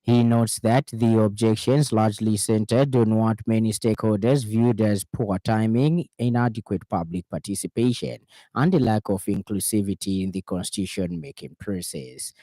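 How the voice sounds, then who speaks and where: Man, Zambian accent, low-pitched, dry close studio sound, formal news presenter, measured scripted reading. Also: mellow, dry recording, poor audio. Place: radio studio.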